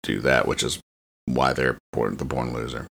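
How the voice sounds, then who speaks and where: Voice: a bass voice, steady tone, moderate pace, gravelly, a bit bright, husky. A podcast host chatting casually and jokingly about comic strips, often restarting as thoughts form.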